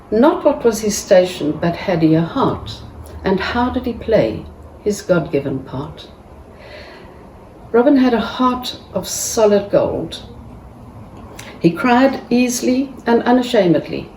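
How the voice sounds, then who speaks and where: A woman giving a halting tribute speech with frequent restarts and pauses. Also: medium pitch, dark tone, a little hiss or hum. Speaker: family member giving a tribute. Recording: echoey room, distant mic.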